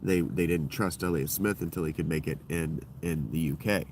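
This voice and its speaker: A man with a deep voice hosting his own livestream, talking in a loose, digressive and profane monologue that keeps circling back on itself. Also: fairly even intonation, normal speed, a little mellow, slight background noise. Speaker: livestream host. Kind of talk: rambling solo livestream monologue.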